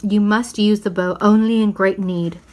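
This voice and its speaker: A woman's voice: mid-range voice, steady tone, normal speed, natural voice. A woman voicing a kindly, commanding old Father Christmas from a storybook, in a solemn, declamatory manner.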